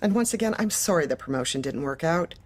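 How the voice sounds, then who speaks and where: Manipulative female boss: low-voiced, officious, falsely polite, dark-comedy audio drama. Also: animated, quick pace. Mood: falsely pleasant. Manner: clipped, officious, controlled.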